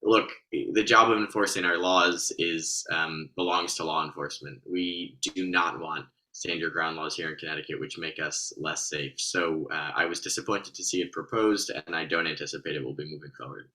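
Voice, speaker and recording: A man's voice: very deep voice, steady tone, normal speed, few pauses, sharp tone. An American man, a state senator, giving firm, articulate explanations on a remote panel. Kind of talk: explanatory public panel answer. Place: remote video meeting.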